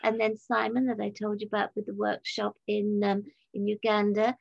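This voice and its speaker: A woman's voice: medium pitch, monotone, moderate pace, vocal fry, dry recording. A woman in her seventies chatting as an interview guest on a video call, telling anecdotes and quoting people as she goes. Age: 70s. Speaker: interview guest, leader of a community organisation. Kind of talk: casual anecdotal interview talk. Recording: dull, lo-fi video-call audio.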